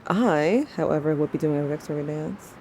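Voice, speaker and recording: A woman's voice: low-pitched, moderate pace, background noise. A young woman playing a wistful, self-doubting teenager, narrating memories in an intimate, wry audio drama monologue. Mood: nostalgic.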